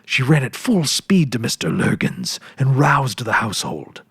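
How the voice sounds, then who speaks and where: A man narrating a classic story as a measured, dramatic reading. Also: medium pitch, very animated, gravelly, fairly bright tone, husky, no echo, clean studio sound.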